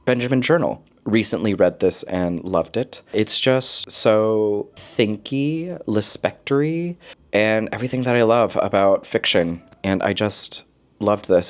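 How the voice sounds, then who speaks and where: Low-voiced man chatting casually. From lives in Korea, origin unstated. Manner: expressive, playful asides with slangy phrasing. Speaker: book vlogger. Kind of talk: casual chat, book recommendations to camera.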